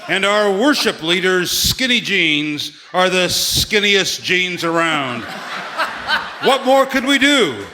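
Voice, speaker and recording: A man performs an eager, folksy church pastor in a live stage comedy sketch. The voice is bright and very animated, with an unhurried, grandiose delivery. It rings out in a long hall reverb. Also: high-pitched, a little hiss or hum, heavily acted. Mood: eager, puzzled.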